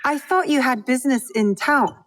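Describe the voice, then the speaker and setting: A woman's voice: high voice, moderate pace, a little hiss or hum, natural voice. An actress playing a bold, composed woman posing as a trader in a video game, polite and self-assured. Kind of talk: video game cutscene acting.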